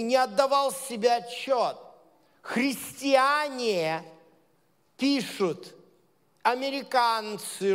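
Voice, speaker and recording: High-voiced man preaching in an echoing hall. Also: fairly bright tone. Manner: conversational, hesitant with many fillers, builds to emphatic points. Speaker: leader of a Messianic congregation. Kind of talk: informal sermon. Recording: long reverb of a large hall.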